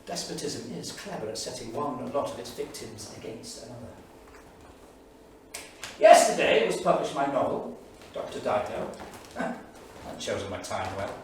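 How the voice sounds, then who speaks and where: A man's voice: mid-range voice, expressive, measured pace, natural voice. Middle-aged male diarist and veteran, played by a man, sardonic, emphatic stage reading; heavily reverberant, off-mic. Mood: grave, reflective. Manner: sardonic, emphatic, literary declamation. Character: anguished middle-aged male English writer, war veteran, scornful diarist. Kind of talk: staged reading of dramatised diary. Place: small theatre studio. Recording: echoey theatre, distant mic, faint noise.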